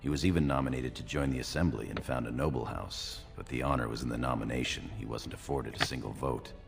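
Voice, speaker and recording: An actor playing a loyal, earnest dwarf warrior in a fantasy game, speaking formally and deferentially. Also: male voice, very deep voice, fast, gravelly, music in the background. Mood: earnest, respectful.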